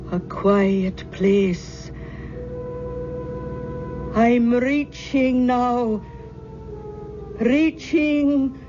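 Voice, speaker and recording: Slow-spoken older Scottish medium. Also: female voice, high-pitched, darkish tone. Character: earnest Scottish aunt and seance medium, older woman. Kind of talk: old radio horror drama acting. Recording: dull old radio, music behind.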